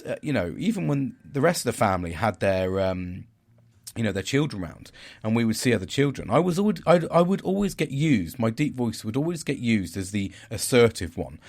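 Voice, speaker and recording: A man with a naturally deep, loud voice talks through his week as a podcast host. His delivery is rambling and frank, with the odd swear word. His pitch swings up and down lively as he goes. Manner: rambling, candid, swears casually. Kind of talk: casual reflective monologue.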